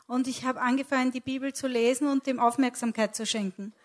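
A woman's voice: high-pitched, steady tone, a little fast. A woman interpreting a sermon live, delivering it phrase by phrase and sometimes correcting herself.

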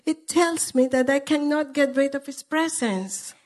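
Female preacher, non-native English speaker, fairly high voice. Emphatic, simple phrases, pausing between them. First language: not English. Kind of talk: sermon with pauses for an interpreter.

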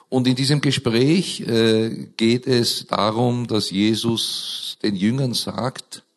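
A hoarse male pastor with a scratchy voice and a slightly low pitch. He preaches at an unhurried pace, earnest and deliberate, lifting his voice for emphasis as he reads scripture into a microphone. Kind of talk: sermon with prayer and scripture reading.

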